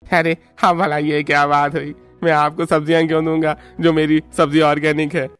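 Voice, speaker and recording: A man's voice: fairly high pitch, level tone, normal speed, fairly bright tone, smooth, soft background music, lightly acted. A man voicing an honest farmhouse uncle who drives a vegetable truck in a cartoon story, earnestly explaining himself. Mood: protesting.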